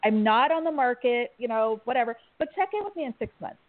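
A woman's voice: a little high, fast, no echo. A woman from Kansas, a tech recruiter, talking casually and animatedly as a guest on a podcast. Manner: animated, chatty, laughs easily. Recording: tinny phone-like line.

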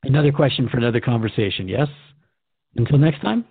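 A man's voice: medium pitch, animated, fast talker, gravelly, husky, no echo. A man hosting a radio drama interview in a thoughtful, courteous manner. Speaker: radio show host. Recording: dry, narrow phone-like band.

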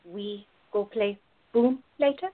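A woman's voice: mid-range voice, slow. Woman playing a teasing woman, dull recording. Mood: mischievous. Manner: speaks simple broken English, deadpan teasing. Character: teasing foreign woman in costume, playful, bold, quick-witted. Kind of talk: radio play acting.

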